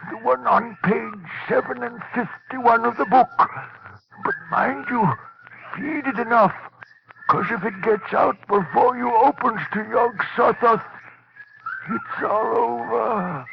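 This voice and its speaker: Old new farm geezer, high gravelly voice, urgent and crazed, noisy muffled radio horror recording. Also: male voice, fairly even intonation, takes it slowly, heavily acted. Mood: urgent, fanatical. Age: older. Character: creaky old rustic man, urgent and fanatical. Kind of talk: 1940s radio horror acting.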